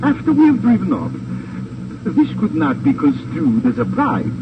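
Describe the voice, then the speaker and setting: An actor playing a suave, unflappable German smuggler with a formal, sly, deliberate manner and a German accent in a radio crime drama. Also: male voice, extremely high pitch, huge pitch swings, vocal fry, distant mic, full character voice. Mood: smoothly insinuating. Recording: noisy, dull old 1950s radio recording.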